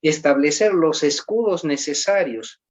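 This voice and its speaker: A man answering interview questions at length in a measured, formal way, often pausing with "eh". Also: on the high side. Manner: measured, formal, frequent "eh" pauses. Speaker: guest. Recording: dull lo-fi audio.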